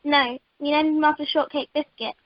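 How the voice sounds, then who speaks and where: A woman's voice: sits high, fairly even intonation, normal speed, no echo, lightly acted. A woman playing a frank, friendly teenage girl, chatty and direct, in an amateur radio comedy recorded over the telephone. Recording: dull, dry telephone conference recording.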